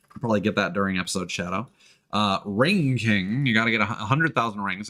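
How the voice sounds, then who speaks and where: A man giving casual gameplay commentary, thinking aloud in a halting way. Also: lowish voice, fairly bright tone. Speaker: game streamer. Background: video game music.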